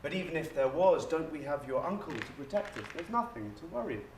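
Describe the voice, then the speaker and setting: A man's voice: on the high side, big pitch swings, fast. An actor playing a doting grandmother in a stage monologue, tenderly reassuring a frightened grandchild. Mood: soothing, dismissive. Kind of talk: dramatic theatre monologue. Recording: echoey theatre recording from far off, noisy.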